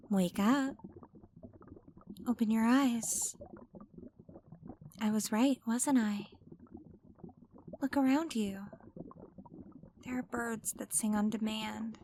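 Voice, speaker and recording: A woman playing an obsessive, possessive mermaid who lures a pirate down to her undersea palace, speaking in a slow, coaxing, intimate ASMR roleplay. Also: fairly high pitch, warm tone, slight background noise. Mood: tender. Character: possessive, obsessive female mermaid queen, young adult.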